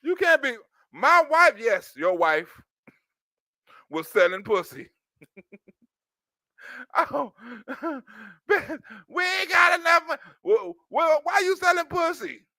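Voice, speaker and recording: High, lively laughing man. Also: slow, long pauses, dry recording, studio quality. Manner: laughs often, acts out little scenes. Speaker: streamer reacting to a news clip. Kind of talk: animated comedic commentary.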